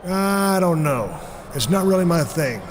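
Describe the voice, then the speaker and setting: A man's voice: fairly high pitch, very animated, unhurried, slight background noise. An actor playing a reluctant, laconic male wrestler in a video game cutscene.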